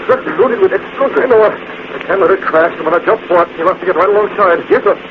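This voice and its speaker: An actor playing a brisk, commanding crime-fighting hero in 1940s radio acting style. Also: male voice, sits high, natural voice. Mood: urgent. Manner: clipped, commanding orders. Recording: tinny, hissy 1940s radio recording.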